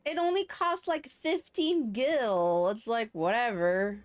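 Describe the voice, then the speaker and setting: A woman's voice: on the high side, takes it slowly, no room sound. A woman live streamer chatting casually and playfully while she plays a game. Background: game music.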